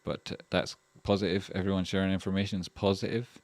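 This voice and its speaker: A Scottish man with a fairly low voice chats casually on a livestream, wandering from thought to thought with fillers, restarts and plenty of swearing. The sound is close and dry, with no room echo. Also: flat delivery, brisk. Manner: rambling and chatty, many fillers and restarts, swears freely. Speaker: livestream host. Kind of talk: casual livestream chat reading donations.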